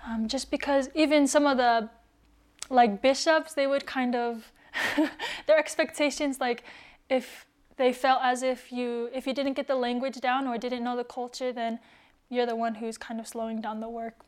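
A young woman from the United States, soft-spoken and hesitant, telling of her missionary years in a casual interview. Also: on the high side, steady tone, moderate pace. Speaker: returned missionary guest.

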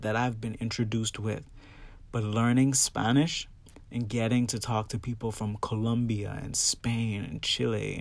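A man's voice: lowish voice, vocal fry, dry recording. A man from the Bahamas hosting a solo podcast, talking casually and emphatically and often checking in with his listeners. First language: English. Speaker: podcast host. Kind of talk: personal solo podcast talk, casual and conversational.

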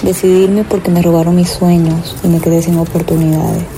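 A woman's voice: fairly low pitch, flat delivery, fairly quick, dark tone, a little hiss or hum, low-quality recording. A woman from Venezuela with a Maracaibo accent, giving an emphatic personal testimony and repeating her phrases. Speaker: interviewee. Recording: voice over music bed.

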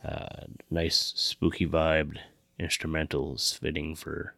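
A man's voice: rumbling low, completely flat delivery, takes it slowly, gravelly, sharp tone, no room sound. A man co-hosting a podcast with casual, laid-back, informal chat. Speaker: show co-host.

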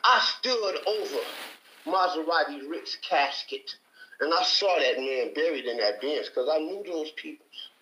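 A man's voice: a little high, lively intonation, measured pace, bright, forceful tone, roomy sound, poor audio. A man from Detroit giving an emphatic, preacher-like testimony in a heated debate. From Detroit, Michigan. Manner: emphatic, preacher-like, repeats for stress. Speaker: panel guest.

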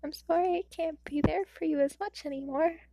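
A woman voicing a tender, grieving mother who speaks softly through tears. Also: high voice, normal speed, creaky, no echo, pitched above the performer's own voice. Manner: soft, gentle, tearful.

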